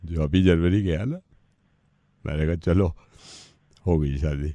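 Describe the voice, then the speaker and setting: Low-voiced man, dry sound. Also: a little slow, mellow, no room sound. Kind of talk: casual phone conversation.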